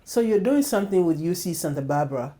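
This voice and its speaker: A woman hosting an interview, encouraging and affirming her guest with frequent mm-hmms and warm summaries. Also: on the low side, lively intonation, fast talker. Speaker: talk show host.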